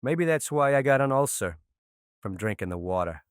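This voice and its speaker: A man's voice: medium pitch, animated, normal speed, clear, resonant tone, no echo, clean studio sound, close to an everyday voice. A man voicing a cocky, sardonic, vicious mob boss in a crime drama. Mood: sardonic, dismissive. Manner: brash, sardonic. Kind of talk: animated crime drama acting.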